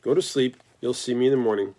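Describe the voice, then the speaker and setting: A man's voice: mid-range voice, quick pace, dark tone, lightly acted. A man voicing a small, kind female creature with a thin, pleasant voice. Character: small gentle female spider voice.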